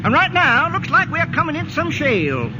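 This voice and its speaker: A folksy, unflappable well digger drawls terse answers in a high, swooping voice. It is deadpan 1940s radio comedy, with clear noise over the old recording. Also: male voice, fairly quick, exaggerated voice. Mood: laconic, unruffled. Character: laconic, unflappable country well digger, stingy with words.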